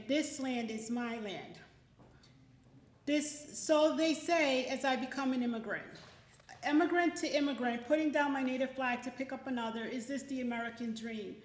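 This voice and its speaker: Middle aged lady at a poetry event mic, really high voice, emphatic, roomy echo. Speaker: poetry event host and poet. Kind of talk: reading aloud and introducing at a poetry event. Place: indoor library event room. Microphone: microphone on a stand. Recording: roomy, echoey event space.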